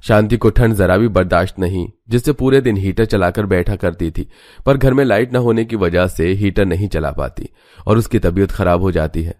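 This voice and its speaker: A man narrates a scripted family story. His voice sits fairly low, and the recording is dry and close, with very little room around it. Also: darkish tone, dry recording.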